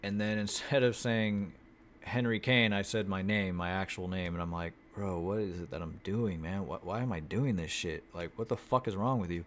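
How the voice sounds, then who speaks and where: A man telling his vlog viewers about an acting class, talking in a flat monotone, swearing freely and restarting his sentences often. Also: low voice, moderate pace, slight background noise. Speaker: acting student vlogger. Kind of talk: casual chat. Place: Houston, Texas.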